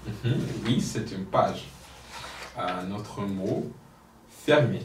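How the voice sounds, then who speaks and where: A man's voice: lowish voice, animated, drawn-out words. A man teaching a French lesson, prompting and correcting his student patiently. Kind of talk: language lesson drill. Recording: roomy, distant mic, faint noise.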